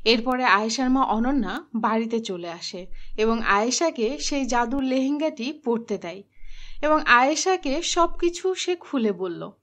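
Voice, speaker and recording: A woman's voice: fairly high pitch, animated, unhurried, fairly bright tone. A woman giving scripted fairy-tale narration for a cartoon. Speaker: story narrator.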